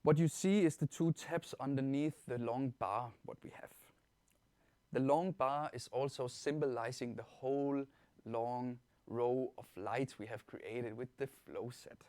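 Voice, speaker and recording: A man with a Danish accent giving an informal software tutorial as a webinar presenter, explaining things step by step in a reassuring way. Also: medium pitch, fairly even intonation, unhurried, no echo. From Denmark. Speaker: webinar presenter, product trainer.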